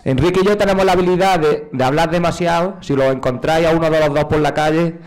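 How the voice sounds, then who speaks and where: A man's voice: on the high side, fast talker, a little mellow, low-quality recording. A man moderating a public tribute event, joking casually and handing over to speakers.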